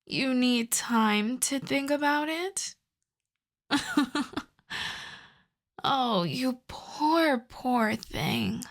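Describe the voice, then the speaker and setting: A woman's voice: high voice, lively intonation, very slow, gravelly, long pauses, full character voice. A woman playing a possessive, seductive female vampire in an ASMR roleplay, speaking intimately and coaxingly with a smug, commanding streak and slipping into whispers. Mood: menacing, possessive. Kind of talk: ASMR roleplay audio drama.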